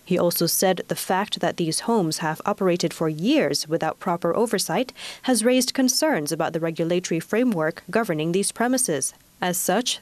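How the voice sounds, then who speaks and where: Malaysian woman reading the news, lowish voice. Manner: formal, measured newsreader delivery. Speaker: news anchor. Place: TV news studio.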